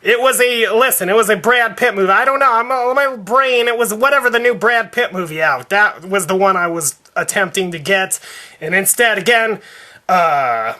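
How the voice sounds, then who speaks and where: A man acts out an indignant, devout father in a prank phone complaint. His voice sits high with a bright, sharp edge. He stammers, stalls and circles back over his grievances. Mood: indignant, insistent. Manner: halting, stammering, repetitive. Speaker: prank caller.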